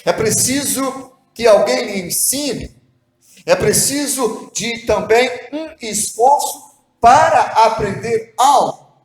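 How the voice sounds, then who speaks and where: A man's voice: extremely high pitch, lively intonation, slow, reverberant. A man preaching a devotional sermon as pastor, earnest and asking the listener rhetorical questions. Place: church hall.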